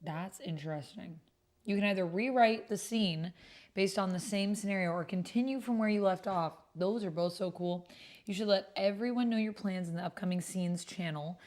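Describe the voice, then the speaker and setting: A woman's voice: mid-range voice, moderate pace, darkish tone. A woman hosting a livestream, reading text aloud and breaking into casual, chatty asides. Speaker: livestream host and tabletop roleplay player. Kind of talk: casual livestream commentary mixed with reading chat messages aloud.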